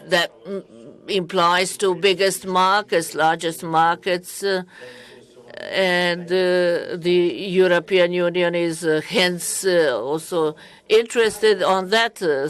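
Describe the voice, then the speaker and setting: A woman with a slightly low voice delivers a live interpretation of a political speech. Her phrasing is deliberate and halting, arriving in short broken pieces.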